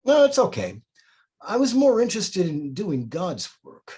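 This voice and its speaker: A man's voice: high voice, wildly swooping pitch, a little slow, dark tone, slightly rough, heavily acted. A man playing a despairing priest in his forties at a screenplay table read, speaking hesitantly. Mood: resigned. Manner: hesitant, stammering. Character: despairing forty-something priest, hesitant, quietly guilt-ridden.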